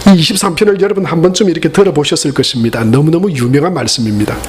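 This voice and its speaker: A man's voice: fairly high pitch, rapid-fire, a little hiss or hum. A man from the region of Korea, speaking with its as a pastor, preaching a Sunday sermon with joking asides to the congregation. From Gyeongsang region, Korea. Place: church sanctuary.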